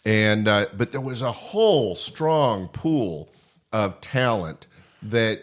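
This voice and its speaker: A man's voice: fairly low pitch, sing-song, takes it slowly. An older American man hosting a podcast, reminiscing in a casual interview style. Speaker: podcast host.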